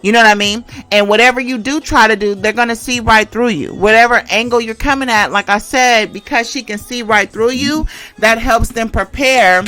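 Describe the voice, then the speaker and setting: A woman's voice: a little high, moderate pace, bright, forceful tone, low-quality recording. A woman giving a casual tarot reading and commentary in a conversational, emphatic way, repeating phrases to drive points home. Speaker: tarot reader and channel host.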